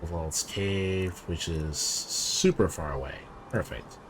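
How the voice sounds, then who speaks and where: A man's voice: deep voice, takes it slowly, slight background noise. A man giving casual gameplay commentary, offhand and rambling as he thinks aloud. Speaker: gamer commentating on own playthrough.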